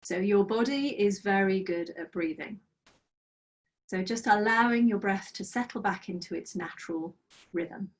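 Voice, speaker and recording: Woman, middle-aged, slow soothing meditation guide, slightly croaky, laptop video call. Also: medium pitch, recorded from a distance, poor audio. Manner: slow, soothing guidance with gentle pauses. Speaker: mindfulness trainer. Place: small office with bookshelves, indoors. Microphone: laptop webcam on a video call.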